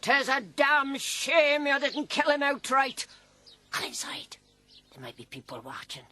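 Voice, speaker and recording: An actress playing a scornful old Irish mother in a radio drama, shrill and quick to scold. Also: sing-song, sharp tone, exaggerated voice. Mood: gruff, conspiring. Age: older.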